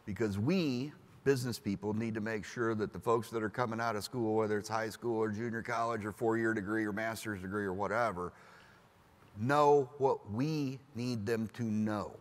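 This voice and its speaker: A man's voice: on the low side, long reverb tail. A man talking on a business panel, chatty, laughing easily and acting out quotes. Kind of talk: panel discussion.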